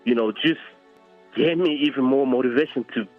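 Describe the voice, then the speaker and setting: A middle-aged man answering in a conversational interview, often pausing hesitantly between phrases. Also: mid-range voice, narrow, tinny audio, soft background music. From Democratic Republic of Congo. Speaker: guest, entertainment producer.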